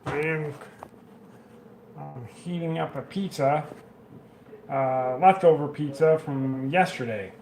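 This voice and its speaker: A man's voice: on the high side, slow, pauses often, darkish tone. A man speaking casually at home, blunt and dismissive. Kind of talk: casual vlog aside.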